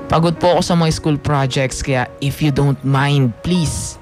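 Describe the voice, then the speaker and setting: An actor playing a defiant, sulky teenage student son in a radio drama, with a Filipino accent. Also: male voice, fairly high pitch, fairly even intonation, takes it slowly, music in the background. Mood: irritated, dismissive. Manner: snappy, petulant. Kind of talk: radio drama dialogue, Taglish.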